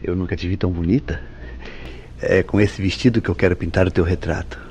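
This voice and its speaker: A man's voice: low-pitched, steady tone, brisk, a little mellow, a little hiss or hum. A man playing an earnest, brooding newlywed husband in a vintage Brazilian radio soap, with theatrical, formal diction. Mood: tender. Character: tender but suspicious, brooding newlywed husband Mauro.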